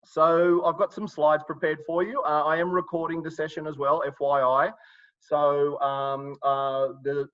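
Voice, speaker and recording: A man's voice: on the high side, little pitch movement, a little slow, highs cut off. A middle-aged Australian man from Sydney, hosting a live coaching webinar in an upbeat, encouraging way, with lots of ums and restarts. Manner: filler-heavy, restarts often, upbeat and encouraging.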